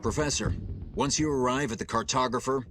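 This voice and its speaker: An actor playing a calm, authoritative male starship captain in a video game cutscene. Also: mid-range voice, a little fast, natural voice. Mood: calm command. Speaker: game character, military commander. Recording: loud music under the voice.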